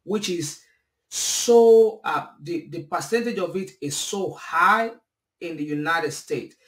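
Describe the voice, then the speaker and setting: A man's voice: high voice, slow pace. A man, hosting an interview podcast in an earnest way over a video call from home. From Nigeria. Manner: earnest, gestures while talking. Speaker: podcast host. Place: home room, indoors. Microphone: video call webcam. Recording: echoey room, distant from the mic.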